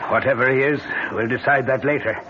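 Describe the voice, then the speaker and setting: An actor playing a hard, decisive gunrunner in a radio drama, giving brusque orders. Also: male voice, mid-range voice, fairly even intonation, fairly quick, lightly acted. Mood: tense, commanding. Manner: brusque, commanding. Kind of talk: old-time radio drama acting. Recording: noisy, dull old radio recording.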